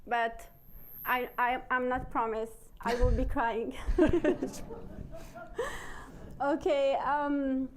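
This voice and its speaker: A woman with a Syrian Arabic accent speaks in a high voice, slowly and hesitantly. She pauses often on "uh" and "um" as she tells an audience about her own life, with her first language showing in her English. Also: level tone, slight background noise. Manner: hesitant, halting English with many fillers. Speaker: panelist. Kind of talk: personal testimony to a live audience.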